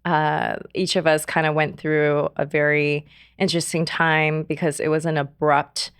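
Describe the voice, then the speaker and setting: A low-pitched woman in her thirties, originally from South Korea, shares her personal story as a podcast guest. She talks softly and hesitantly, full of fillers and little laughs. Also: flat delivery, clean tone, dry recording, studio quality. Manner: soft-spoken, many fillers and trailing pauses, laughs often. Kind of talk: casual interview, personal story.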